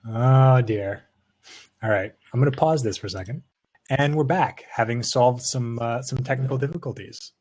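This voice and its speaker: A man co-hosting a casual interview podcast, speaking haltingly and often repeating words. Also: medium pitch, a little mellow. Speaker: podcast co-host.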